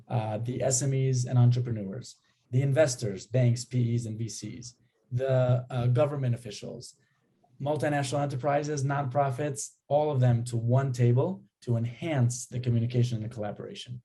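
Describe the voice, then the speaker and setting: A man's voice: mid-range voice, normal speed, warm tone. A man hosting a business community interview, introducing the session and putting questions to a guest. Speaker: interview host for a business network. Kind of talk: welcoming scripted introduction and interview.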